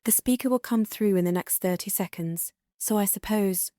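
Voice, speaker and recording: A woman's voice: mid-range voice, normal speed, dark tone, no room sound, studio quality, close to an everyday voice. A woman playing a composed, reassuring TV news anchor in a disaster drama, talking conversationally as if live on air. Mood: wry, businesslike. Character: composed female TV news anchor during a catastrophe.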